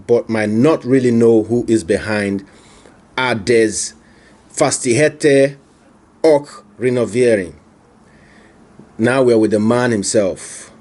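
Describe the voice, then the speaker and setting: A man's voice: mid-range voice, darkish tone. A man interviewing a business owner, asking questions and often repeating them. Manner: probing, repeats his questions. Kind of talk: on-camera interview.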